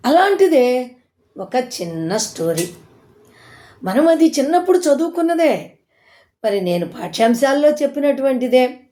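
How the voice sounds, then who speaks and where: A woman's voice: on the high side, warm tone. A woman telling a moral story informally, in an explanatory, conversational way that repeats points for listeners.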